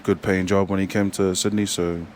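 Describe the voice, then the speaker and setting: Kiwi guy, really low voice, rambles on with lots of likes and ums, slight hiss behind. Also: level tone, darkish tone. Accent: New Zealand. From New Zealand. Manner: rambling, halting, many fillers and restarts. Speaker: rugby player guest. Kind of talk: casual interview chat.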